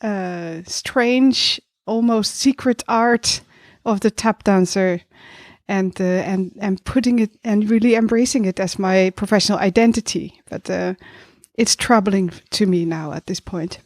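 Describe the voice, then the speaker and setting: A woman co-hosting a podcast in casual chat, speaking hesitantly with frequent pauses. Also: medium pitch, a little mellow, no room sound, clean studio sound. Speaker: podcast co-host.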